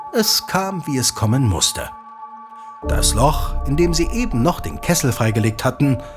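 A playful male storyteller in a radio play. He speaks slowly and deliberately, with sweeping pitch swings and theatrical suspense. Music plays behind him. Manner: dramatic, deliberate, savouring pauses.

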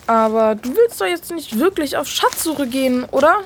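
A small boy acted by a woman in a radio play, whining and full of doubts. The voice is very high and lively, with faint noise around it. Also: moderate pace. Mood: sceptical. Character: whiny, hungry, sceptical little brother. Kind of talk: German audio drama acting.

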